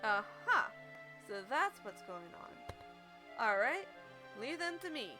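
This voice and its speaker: A woman's voice: a little high, sing-song, takes it slowly, music in the background. A woman voicing a cheeky young male thief in a game, with a playful delivery. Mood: cocky.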